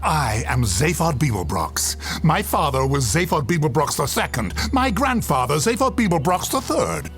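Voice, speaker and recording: A man's voice: fairly high pitch, very animated, moderate pace, hardly any pauses, a bit bright. An actor playing a cocky, vain, boastful male alien big shot, theatrically, in a sci-fi radio comedy. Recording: music behind the voice.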